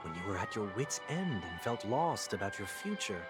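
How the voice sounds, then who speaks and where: Lowish male voice, composed young fugitive, formal, measured, calculating, game dialogue, music underneath. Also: very animated. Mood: coolly insistent. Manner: formal, measured, coolly persuasive. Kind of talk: video game voice acting.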